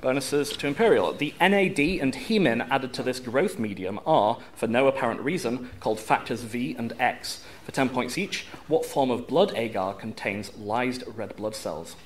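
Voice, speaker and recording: A man's voice: mid-range voice, animated, normal speed, hardly any pauses. A man reading quiz questions formally as quizmaster, enunciating carefully in a live room. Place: university room at a quiz tournament. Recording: live room with background noise.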